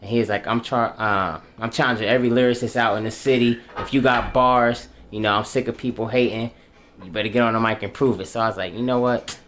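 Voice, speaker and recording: A man's voice: medium pitch, steady tone, fast talker, fairly bright tone, a little hiss or hum. A twenty-nine-year-old man from Dayton, Ohio, a rapper guest speaking in a thoughtful, easygoing way into a desk mic in a small studio. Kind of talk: casual interview. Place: small studio with backdrop, indoors. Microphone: desk mic on boom arm.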